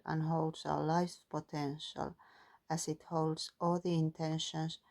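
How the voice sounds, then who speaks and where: A woman doing a calm, musing spiritual card reading, partly reading guidebook text aloud. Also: low-pitched, gravelly. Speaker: tarot and oracle card reader.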